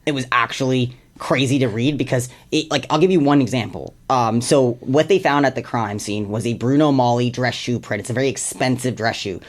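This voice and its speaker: Canadian artist chatting in an interview, talks fast n a lot, pretty earnest. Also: mid-range voice. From Hamilton, Canada. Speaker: music artist being interviewed. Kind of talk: casual chat.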